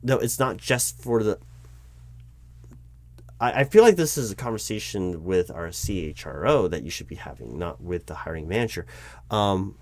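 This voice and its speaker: A man playing an exasperated corporate hiring manager in a one-sided interview roleplay, talking in a rambling, halting way with stammers and self-corrections. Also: low-pitched, sing-song. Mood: flustered, explaining. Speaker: roleplay performer. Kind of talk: improvised one-sided job interview roleplay, spoken aloud.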